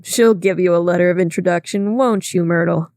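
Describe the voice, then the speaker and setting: A woman's voice: fairly low pitch, fairly quick, darkish tone, dry recording, clean studio sound, lightly acted. A woman doing a curt, lordly rich man. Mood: mocking. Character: brusque, domineering wealthy man.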